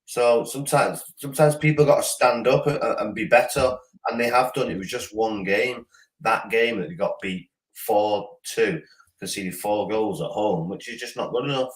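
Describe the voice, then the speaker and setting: A man guesting on a casual football podcast who talks quickly, repeats himself and pushes his points in short bursts. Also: on the low side. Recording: echoey room.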